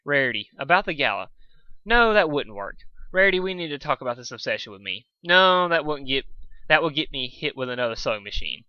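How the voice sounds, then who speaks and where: A man's voice: a little high, bright, forceful tone, no room sound, dull audio. A man voicing an exasperated, bookish young male cartoon unicorn in an earnest, flustered manner.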